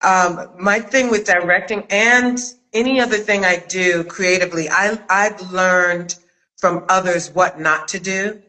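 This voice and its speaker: Female host and director, bright cutting tone, emphatic, direct, casual conversational interview delivery. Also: lowish voice, a little slow, poor audio. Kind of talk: casual interview chat about acting craft.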